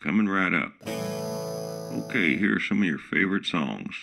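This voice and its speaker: A man's voice: sits low, sing-song, a little slow, gravelly, over music, full character voice. An actor playing a folksy smart home assistant with a country singer's persona, who keeps boasting about his own titles. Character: folksy country-singer smart speaker, male, middle-aged, self-promoting. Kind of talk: comic radio play.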